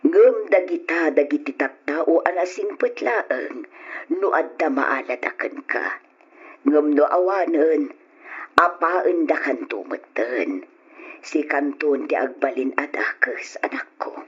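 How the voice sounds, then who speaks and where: Husky elderly mother, gently consoling. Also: low-pitched, lively intonation, slow pace, heavily acted. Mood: consoling, resigned. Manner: gentle, sighing, consoling, counselling. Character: caring elderly mother counselling her grown son. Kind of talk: old-style radio drama acting. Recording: dull lo-fi radio drama recording.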